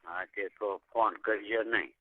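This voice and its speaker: A man's voice: on the low side, steady tone, unhurried, husky. A man chatting casually and privately on a phone call. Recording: muffled tinny phone call recording.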